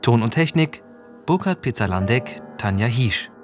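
A male announcer reads scripted radio drama titles and credits. His voice sits at a medium pitch, with music clearly playing behind it. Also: lively intonation, moderate pace.

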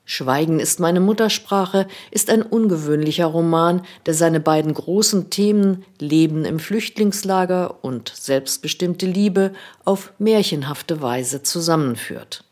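A woman reading a scripted radio book review in a calm, measured way. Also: lowish voice.

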